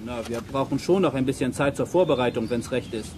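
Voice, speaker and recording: An actor playing a gruff, bossy quarry worker in a children's audio drama, curt and commanding. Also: male voice, mid-range voice, fairly quick, a crowd in the background, close to an everyday voice. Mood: gruffly warning.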